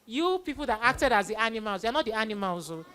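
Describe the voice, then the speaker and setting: A woman with a Nigerian accent speaks to children as an event host. Her voice is high and bright, with a forceful edge. She tells stories in an exclamatory way, full of quoted dialogue. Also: animated, fast talker, smooth. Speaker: children's Bible story host. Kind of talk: addressing children at a live event.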